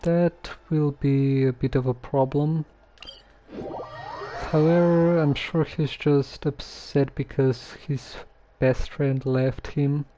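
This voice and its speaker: A low-key streamer musing over a game in casual commentary. The voice is soft-edged and mellow, slow and hesitant, at a medium pitch. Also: fairly even intonation, poor audio.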